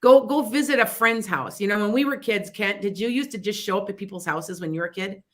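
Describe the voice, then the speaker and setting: A woman's voice: medium pitch, brisk. A middle-aged woman with a Chicago accent who hosts a psychic advice show, talking in a rambling, chatty way full of restarts and asides. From Wisconsin near the Illinois border. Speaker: psychic radio show host. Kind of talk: casual advice talk on internet radio.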